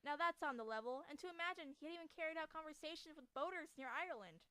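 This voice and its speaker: A young woman playing a chipper 1920s radio news co-host, speaking in a perky, brisk way with period slang. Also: high voice, expressive, pushed and bright, dry recording. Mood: admiring. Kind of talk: scripted 1920s radio news show acting.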